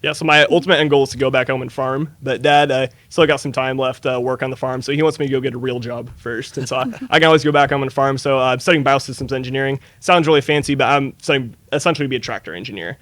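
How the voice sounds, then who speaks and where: A young man in his early twenties from Eastern Washington, talking fast and earnestly about his plans. His voice sits in a middle pitch, and the recording is close and dry. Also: fairly even intonation, a bit bright, no echo. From Eastern Washington, USA. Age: early 20s. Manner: earnest, down-to-earth, uses fillers like "uh" and "you know". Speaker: student guest. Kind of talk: casual interview answers.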